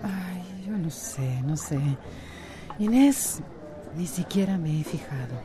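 Elegant Costa Rican salon owner with a hidden sadness, in a radio soap. Her voice is on the low side for a woman. She speaks hesitantly and evasively, with music clearly playing behind her. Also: expressive, slow. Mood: wistful, evasive. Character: elegant, melancholy beauty salon owner hiding sorrow.

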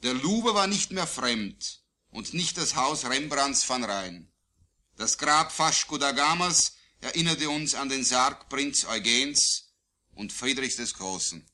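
Man, very bright and tense voice, solemn poetry recitation, deliberate declamatory phrasing, medium pitch. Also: expressive. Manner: declamatory, deliberate phrasing with falling line endings. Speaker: poet. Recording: digitized vintage vinyl record.

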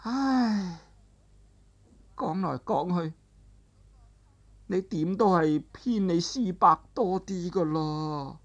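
A male storyteller voicing an aged woman martial arts master who is bitter and grieving, in a high strained falsetto that wavers between laughter and sobbing. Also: very slow, pauses often, dull audio, exaggerated voice. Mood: sighing. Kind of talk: traditional storytelling, voiced character dialogue.

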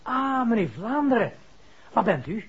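An actor playing a deferential, slightly anxious manservant, respectful and a little hesitant, in a 1960s Dutch radio drama. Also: male voice, extremely high pitch, big pitch swings, moderate pace, breathy edge, exaggerated voice. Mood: eager. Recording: dull vintage radio recording.

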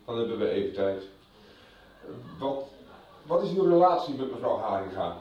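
An actor playing a formal, disapproving, middle-aged school head in a radio soap. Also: male voice, fairly low pitch, big pitch swings, moderate pace, a little mellow, far from the mic. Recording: echoey, dull room, off-mic.

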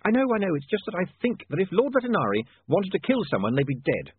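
A man's voice: high voice, huge pitch swings, fast, husky, no room sound, pitched above the performer's own voice, heavily acted. A man voicing an urgent, harried newspaperman in an audiobook, emphatic and sprinkled with hesitant ums. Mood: harried dictation. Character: harried, urgent young male newspaperman dictating headlines. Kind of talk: audiobook character voice. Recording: band-limited, phone-like sound.

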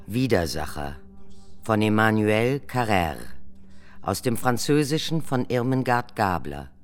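Male announcer, slow delivery, lively intonation, formal scripted credits, light music behind. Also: fairly low pitch. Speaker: announcer reading production credits.

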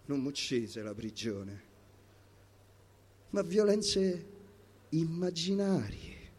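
Male poetry performer, Roman accent, unhurried and deliberate, rhythmic, emphatic delivery. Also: a little high, sing-song, darkish tone, husky. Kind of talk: dramatic spoken-word poetry recital.

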